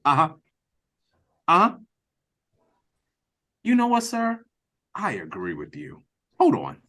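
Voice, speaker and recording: Audio play customer, male actor: cheeky and talkative, very lively intonation, polite to the point of mockery. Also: on the high side, slow pace, exaggerated voice. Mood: cheerful, polite. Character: chatty, cheeky, hungry male restaurant customer. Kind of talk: audio drama read-through. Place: remote reading over a video call. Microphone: video call.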